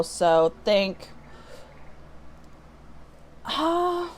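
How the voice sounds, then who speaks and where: A woman's voice: medium pitch, a little mellow. A woman chatting casually to viewers as a book vlogger, talking in an informal, self-deprecating way.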